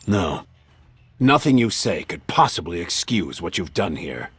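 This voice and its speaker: A man playing a stern, domineering older military commander in a dramatic video game, gruff, scornful and commanding. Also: low voice, very animated, a little slow, a bit bright, no echo, faint music behind, heavily acted. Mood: cold, scornful anger.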